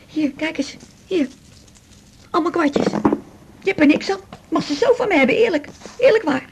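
A woman's voice: very high-pitched, expressive, normal speed, noisy background. An actress playing a confused, depressed woman patient who rambles hesitantly and pleads, in a radio drama. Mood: agitated, gloomy. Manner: rambling, hesitant, jumping between thoughts.

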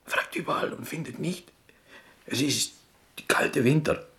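An actor playing a proud, melancholy Greek man from Corfu in a radio drama, telling his tale in halting, broken German with a Greek accent. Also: fairly high pitch, fairly bright tone. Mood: sorrowful.

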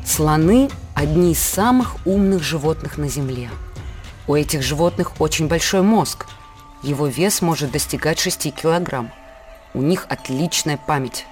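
A woman playing a patient mother, giving measured, scripted educational storytelling to her child. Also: deep voice, a little mellow, faint music behind, natural voice. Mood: patient, explanatory.

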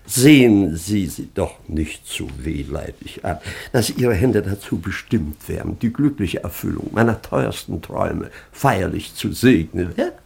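Pompous fussy baron, creaky husky voice, easily piqued, old stage comedy on radio. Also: male voice, deep voice, darkish tone, exaggerated voice. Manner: pompous, declamatory. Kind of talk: German radio play of a classic stage comedy.

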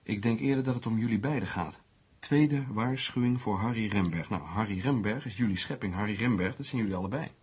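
An actor playing a skeptical, teasing newspaper journalist who reasons things out in a sardonic way, in a Dutch radio play. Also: male voice, lowish voice, fairly quick, darkish tone, husky. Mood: pondering, analytical. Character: skeptical, teasing male newspaper journalist, analytical amateur sleuth.

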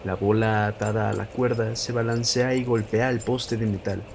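A man's voice: fairly low pitch, little pitch movement, normal speed, creaky, slight background noise. A man with a Mexican accent reading scripted narration for an audio story.

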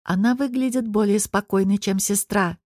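A woman reading first-person fiction as an expressive audiobook narrator. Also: medium pitch, moderate pace, no room sound, clean studio sound.

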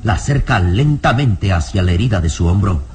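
A man narrating a radio drama in a solemn, deliberate, dramatic scripted style. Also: low-pitched, animated, darkish tone.

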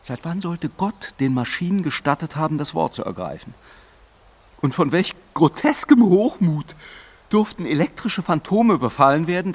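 Man acting as scornful young nobleman, highish voice, animated swings, indignant, sarcastic, theatrical audio drama.

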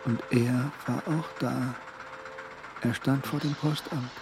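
An actor narrating a tense crime story in a scripted radio drama. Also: male voice, medium pitch, fairly even intonation, slow, noisy background. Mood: tense, measured.

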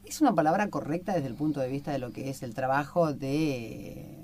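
Low-voiced Argentine woman, radio host. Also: moderate pace, darkish tone, slight background noise. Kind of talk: conversational interviewing and reading aloud.

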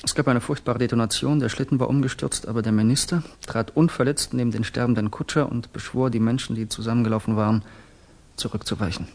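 A male actor as a young Russian revolutionary in a radio drama. The voice is husky and mid-pitched. He speaks with grave, deliberate conviction, like a true believer confiding in someone. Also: fairly even intonation, close to an everyday voice. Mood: grave, confessing. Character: earnest, fervent young Russian revolutionary student, confessing assassin. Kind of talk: German radio play acting.

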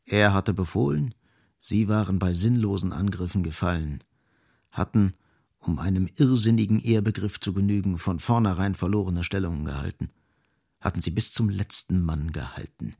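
Male narrator for literary radio play: low, slightly rough voice, dry close sound, deliberate phrasing. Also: darkish tone, dry recording. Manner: measured, deliberate, emphatic repetition.

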